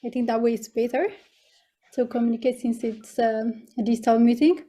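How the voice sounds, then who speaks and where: A woman's voice: on the high side, level tone, a little fast, dark tone. A young woman with an Ethiopian accent giving an informal online presentation, hesitant and often repeating her words. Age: young adult. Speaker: scholarship student presenter. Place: online video meeting.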